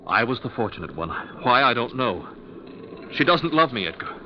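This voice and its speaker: An actor playing an overwrought, jealous painter in a 1940s radio drama, halting and intense, repeating himself, on an old muffled recording. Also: male voice, medium pitch, very animated, a little hiss or hum, lightly acted. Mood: anguished, bitter. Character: overwrought jealous male painter, obsessed with his wife.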